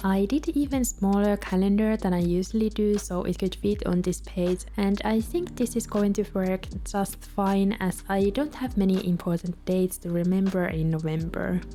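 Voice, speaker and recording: A woman with a Finnish accent, a native Finnish speaker, calmly narrating a voice-over for a journaling video in a gentle, soft-spoken way. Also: medium pitch, normal speed, hardly any pauses. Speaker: bullet journal vlogger.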